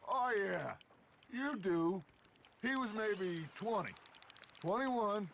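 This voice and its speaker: An actor playing a confident, genial middle-aged gang leader in a western game, theatrical and teasing. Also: male voice, high voice, lively intonation, takes it slowly, a bit bright, heavily acted. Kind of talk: cinematic western game acting. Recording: clear outdoor ambience noise behind.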